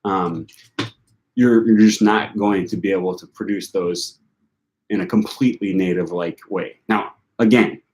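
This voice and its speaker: A man's voice: deep voice, fairly even intonation, measured pace, darkish tone. A young man from the United States with a northern US accent, hosting a language-learning channel and answering viewer questions in a rambling, self-correcting way with many fillers.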